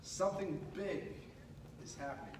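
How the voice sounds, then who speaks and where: A man reading a children's picture book aloud in an animated, dramatic way, pausing and stretching words for emphasis. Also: high-pitched. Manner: dramatic, with pauses and drawn-out emphasis. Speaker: radio host reading a storybook aloud. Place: echoey room at a school. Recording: echoey room, distant mic, clear background noise.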